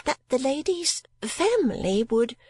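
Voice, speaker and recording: A woman voicing a mincing, genteel landlady of fifty in a storybook reading, with a high, breathy, prim and affected manner and dainty little coughs. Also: very animated, measured pace, darkish tone, dry recording, clean studio sound. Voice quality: high and breathy, sometimes whispered.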